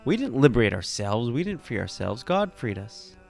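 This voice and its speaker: A man, a rabbi, giving an earnest, emphatic teaching that rises to animated peaks. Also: mid-range voice, normal speed, vocal fry, faint music behind. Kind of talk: sermon-style teaching.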